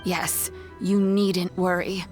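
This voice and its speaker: Doting old granny for a game, proud and gentle, unhurried delivery over soft music. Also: female voice, lowish voice, little pitch movement. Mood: fond, reassuring. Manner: gentle, measured, fond. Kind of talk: game voice acting.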